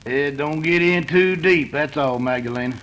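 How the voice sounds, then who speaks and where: A man's voice: on the high side, normal speed, a bit bright, close to an everyday voice, low-quality recording. A man playing a menacing, sneering cattle-rustler outlaw with a rustic Western drawl in an old radio drama. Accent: rustic American West. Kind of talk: 1930s western radio acting.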